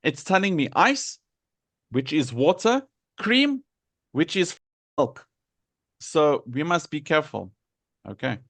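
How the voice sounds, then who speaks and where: The South African man at the front of an English class, sounding words out deliberately in a close, dry recording. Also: fairly high pitch, very animated, no echo. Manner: deliberate, repeats and enunciates words for learners. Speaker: English teacher. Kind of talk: live lesson explaining pronunciation to students.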